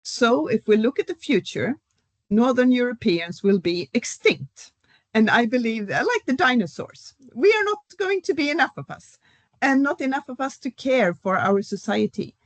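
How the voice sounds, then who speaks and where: A middle-aged professor, speaking as a guest in an academic panel discussion. She explains at a measured pace, with frequent fillers. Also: on the high side, dry recording. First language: Swedish.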